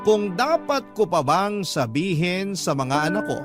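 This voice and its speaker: A man's voice: high-pitched, sing-song, unhurried. A man narrating a scripted first-person story as a radio storyteller reading a listener's letter. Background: loud music.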